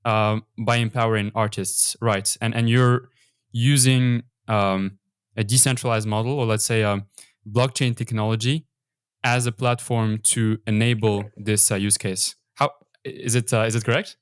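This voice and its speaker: A man's voice: on the low side, steady tone, moderate pace, clear, resonant tone, no echo, studio quality. A man hosting an interview, asking questions with a few fillers. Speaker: interviewer, host.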